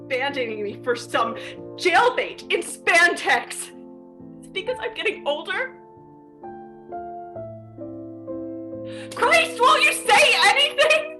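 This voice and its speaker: A woman plays the troubled lover of a superhero, emotional and vulnerable. Her voice is high and bright, and she speaks haltingly at a slow pace. It is a dramatic online play. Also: big pitch swings, soft background music, heavily acted. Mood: bitter, hurt. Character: Janie, anxious, wounded woman, superhero's lover. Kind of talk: dramatic acting in a fan-made online play.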